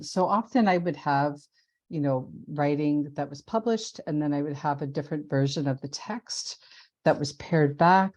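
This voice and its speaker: A woman leading a writing workshop on a video call, speaking thoughtfully with pauses and fillers, whose first language was an Italian dialect. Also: low-pitched, warm tone. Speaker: workshop facilitator. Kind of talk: casual group talk on a video call.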